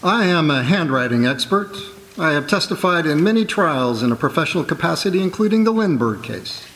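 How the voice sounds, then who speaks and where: A man playing a self-assured expert witness, formal, measured and precise, in a courtroom radio drama. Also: on the high side, animated, fairly bright tone. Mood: authoritative. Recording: echoey hall stage recording.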